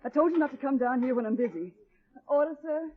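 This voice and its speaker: An actress playing a weary, sharp, resentful waitress mother in an old-time radio drama. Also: sits high, animated, quick pace, a little hiss or hum. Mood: busy, calling out. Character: weary, bitter, possessive mother working as waitress. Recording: muffled, tinny old radio recording.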